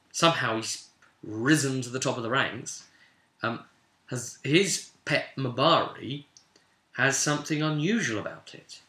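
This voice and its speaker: Bright, forceful man in an echoey room. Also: mid-range voice. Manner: animated storyteller, voicing characters' lines and addressing listeners directly. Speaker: game master and host of a tabletop roleplay recap series. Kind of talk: informal, spoken recap of a tabletop fantasy game session. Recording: echoey, roomy sound.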